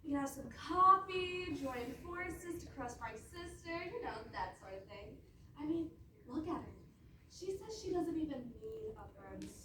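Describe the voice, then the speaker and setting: A roomy stage recording of a woman acting a young princess with a very bright voice that swoops around up high. She is flippant, scheming and theatrically self-assured. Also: animated, measured pace, heavily acted. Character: cool, scheming, self-respecting young fairy-tale princess. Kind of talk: comic stage readers theatre. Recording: roomy, distant stage recording, faint noise.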